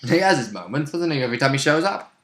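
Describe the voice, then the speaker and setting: A man's voice: mid-range voice, sing-song, fast, a bit bright. A man chatting casually as a player looking back on a tabletop role-play session. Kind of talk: casual animated chat. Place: indoor room. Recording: roomy echoing home recording.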